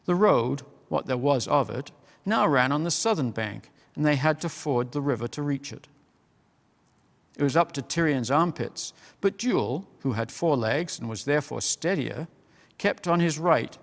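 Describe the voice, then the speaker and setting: Gravelly man reading a storybook. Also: on the high side, expressive. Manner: measured storytelling. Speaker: reader. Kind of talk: reading a children's novel aloud. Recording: long hall reverb.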